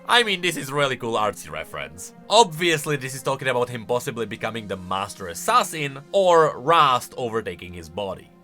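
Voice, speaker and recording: A man's voice: mid-range voice, sing-song, brisk, few pauses, pushed and bright, soft background music. A man hosting a video of casual, explanatory game lore commentary in an emphatic, conversational way. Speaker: video host.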